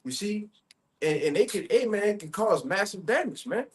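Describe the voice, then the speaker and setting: Male, fairly high voice, impromptu street preaching, scripture commentary, frequent check-in tags. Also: animated, moderate pace. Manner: repeatedly checks in with "all right?" and "you see?".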